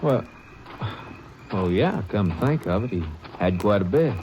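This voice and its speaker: A man's voice: fairly low pitch, lively intonation, a little slow, darkish tone, slight background noise, rough recording. An actor playing an easygoing sawmill foreman in an old radio drama, with a folksy drawl. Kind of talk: 1950s radio drama acting.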